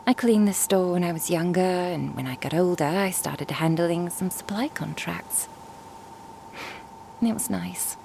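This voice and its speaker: A woman playing a curious, composed traveling merchant and master in an ASMR roleplay, speaking in a measured, formal, inquisitive way. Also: fairly low pitch, gravelly, noisy background. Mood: composed, probing. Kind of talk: ASMR roleplay audio drama.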